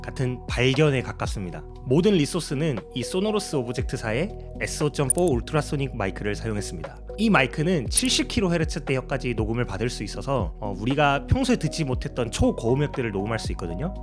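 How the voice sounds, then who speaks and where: A man's voice: medium pitch, very animated, quick pace, few pauses, music in the background. A man narrating a tutorial as a sound designer, explaining his process in a polite way.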